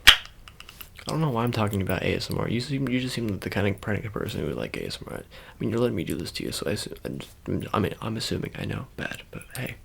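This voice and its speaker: A man speaks as an ASMR creator in a very low voice thick with gravelly fry. His pitch moves around in a lively way as he rambles casually to the viewer, often stopping and correcting himself. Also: noisy background. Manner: halting, rambling, self-correcting.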